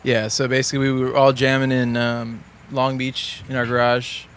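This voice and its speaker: A man's voice: medium pitch, completely flat delivery, moderate pace, fairly bright tone, slight background noise. A man, laid-back and matter-of-fact about his band. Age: 20s. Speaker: band member guest.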